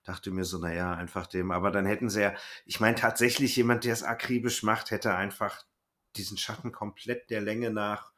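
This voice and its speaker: A man's voice: on the low side, steady tone, normal speed, a bit bright. A man chatting casually as a podcast co-host, in a hesitant, rambling way that often trails off mid-thought. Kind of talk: casual podcast chat reviewing an audio drama.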